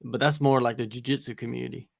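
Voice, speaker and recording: A man talks about fighters in a relaxed, rambling way, packing his speech with "you know" and "like." His voice has a gravelly edge. The sound is dry and close to the mic. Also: medium pitch, quick pace, no echo. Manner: laid-back, rambling with many fillers. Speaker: MMA interviewer and podcast co-host. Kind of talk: casual chat on a livestream.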